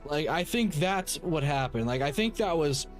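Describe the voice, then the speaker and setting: A man's voice: a little high, animated, moderate pace. A man streaming games, chatting casually in slang, blunt and repetitive with stammered restarts. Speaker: game streamer. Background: game music.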